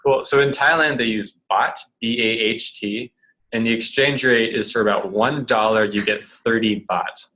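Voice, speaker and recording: A man's voice: medium pitch, moderate pace, pushed and bright, rough recording. A twenty-year-old man on a student panel, answering casually with lots of "like". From United States. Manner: easygoing, lots of "like".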